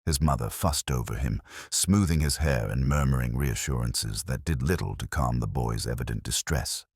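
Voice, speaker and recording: Very deep male mystery narrator. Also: darkish tone, slightly rough, no echo, studio quality. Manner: measured, literary storytelling delivery. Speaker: audiobook narrator. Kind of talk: scripted mystery story narration.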